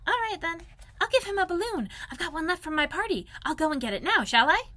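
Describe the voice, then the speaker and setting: A woman voicing a small, eager, timid young male piglet in a storybook, with a high squeaky voice. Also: expressive, fast talker, bright, forceful tone, heavily acted. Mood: eager, pleading. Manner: eager, childlike.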